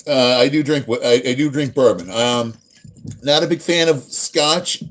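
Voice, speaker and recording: A middle-aged American man with a full radio-style voice, hosting a relaxed live stream. He greets viewers by name and wanders through his answers with plenty of ums and uhs, friendly and unhurried. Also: medium pitch. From United States, near New York. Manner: laid-back, friendly, rambling with many ums and uhs.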